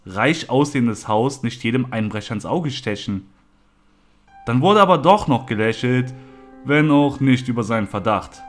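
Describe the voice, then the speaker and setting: A man reads a scripted horror story as a narrator. His voice sits at a medium pitch, and faint music plays behind him.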